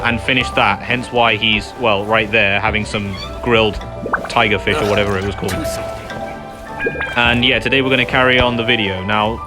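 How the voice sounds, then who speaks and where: A man hosting a gaming video with casual, conversational playthrough commentary. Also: medium pitch. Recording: game music behind voice.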